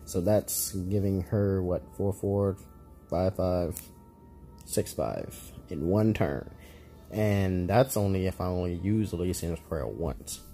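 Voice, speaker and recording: Gaming YouTuber, male, deep dark voice, laid-back rambling commentary. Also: slow, faint music behind. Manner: relaxed, rambling, self-correcting. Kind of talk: casual gameplay commentary.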